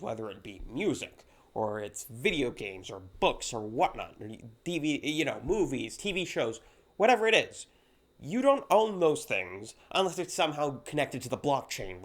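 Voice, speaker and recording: A man hosting a solo news commentary show, sick and nasal, talking in an opinionated way with frequent restarts. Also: mid-range voice.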